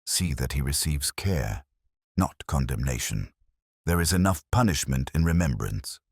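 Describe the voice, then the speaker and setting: Grave philosophical detective played by a male narrator, very low, deliberate, expressive pitch, for mystery audiobook. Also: creaky, husky, dry recording, studio quality, exaggerated voice. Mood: compassionate. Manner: deliberate, aphoristic, solemn.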